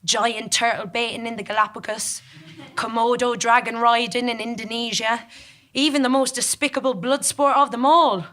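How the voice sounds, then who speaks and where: A ruthless gambler recounts a life of excess, played by a woman on stage. The voice is fairly high and bright, with deliberate, dry-humoured dramatic storytelling. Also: fairly even intonation, lightly acted. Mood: wry, boastful. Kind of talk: scripted comic monologue, storytelling on stage. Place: theatre stage in front of an audience.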